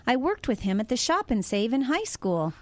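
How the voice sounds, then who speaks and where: A woman's voice: fairly high pitch, very animated, normal speed. A woman playing a distracted, curious single mother in a radio drama, with a musing, inquisitive way of talking. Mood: puzzled.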